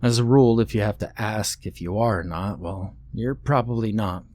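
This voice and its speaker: Guy doing a laid back dad talking to his teen son, lowish voice, folksy and a bit hesitant, audiobook story. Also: normal speed, a little mellow, lightly acted. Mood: gently musing. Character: easygoing father advising his teenage son.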